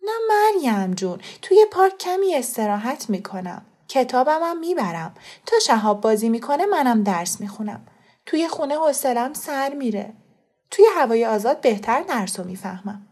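A woman's voice: on the high side, sing-song, a little mellow, pitched above the performer's own voice. A woman voicing a pleading, secretive young student in an audiobook story. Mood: coaxing, evasive.

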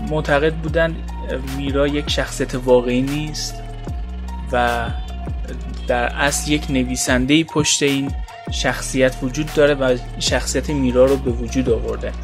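A man introducing a podcast informally, hesitant and pausing between phrases. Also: medium pitch. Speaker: podcast host. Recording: music behind the voice.

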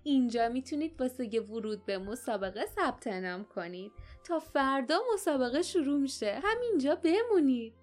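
A woman's voice: high voice, expressive, soft background music. A woman doing a bustling cheerful innkeeper lady. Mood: eager. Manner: loud, friendly, bustling. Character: cheerful plump middle-aged female innkeeper.

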